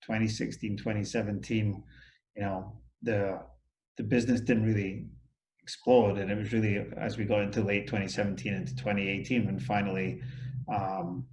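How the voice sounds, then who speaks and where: A man's voice: fairly low pitch, little pitch movement, normal speed, darkish tone, echoey room, poor audio. A middle-aged man with a Scottish accent, talking thoughtfully as an interview guest, often restarting his sentences. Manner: thoughtful, many restarts and fillers. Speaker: outsourcing company CEO, guest. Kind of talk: casual interview talk.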